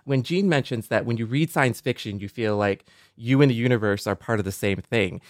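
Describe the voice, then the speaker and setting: A man's voice: mid-range voice, a little fast, dry recording, clean studio sound. A man co-hosting a podcast in a conversational style. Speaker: podcast co-host.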